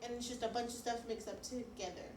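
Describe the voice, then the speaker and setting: Woman, preschool teacher, high voice, children's story-time delivery, distant mic with faint noise. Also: steady tone, fast talker, a little mellow, reverberant. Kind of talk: children's read-aloud and lesson chat.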